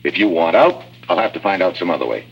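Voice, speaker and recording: An actor playing a tough, determined secret agent in a radio drama, terse and firm. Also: male voice, sits low, animated, quick pace, husky, exaggerated voice. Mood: firm, insistent. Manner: terse, hard-boiled, firm. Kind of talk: 1950s radio drama acting. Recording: muffled, noisy old radio recording.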